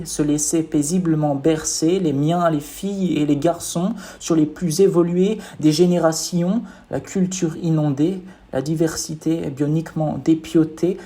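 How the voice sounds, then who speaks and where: A man's voice: a little high, mellow. A man reciting his own poem as spoken word, in a rhythmic, declamatory manner.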